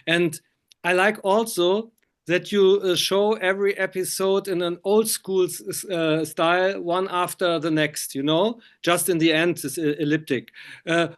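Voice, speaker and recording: A man with German as his first language conducting a casual interview, haltingly searching for words in non-native English. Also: high-pitched, little pitch movement.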